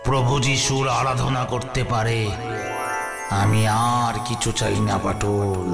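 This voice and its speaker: A mournful cartoon spirit who speaks slowly and gravely. The voice has a gravelly texture. It is bathed in echo, with loud music underneath. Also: male voice, medium pitch, steady tone. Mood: solemn. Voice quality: slow gravelly echoing voice. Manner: solemn, drawn-out speech. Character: ghost of a murdered man, sorrowful, seeking peace. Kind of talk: animated cartoon dub.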